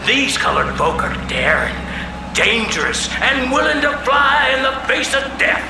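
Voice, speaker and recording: An actor playing an excited, showy Southern master of ceremonies at a flying circus in a radio play. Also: male voice, unhurried, full character voice. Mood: teasing hype. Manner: booming, showy announcer delivery. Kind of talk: 1920s barker-style announcing in a radio play. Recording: roomy distant sound, music behind.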